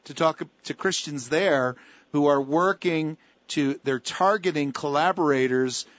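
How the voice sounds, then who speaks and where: Brief: male talk radio host, emphatic and repetitive, fairly high voice, dry and dull lo-fi sound. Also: unhurried, a bit bright, dry recording.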